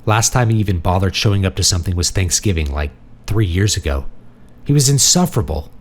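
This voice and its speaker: A man's voice: deep voice, animated, brisk, a little hiss or hum. A man voicing a resentful, emphatic grown son in an audiobook drama. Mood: indignant. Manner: emphatic, indignant. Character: angry, resentful grown son refusing family duty.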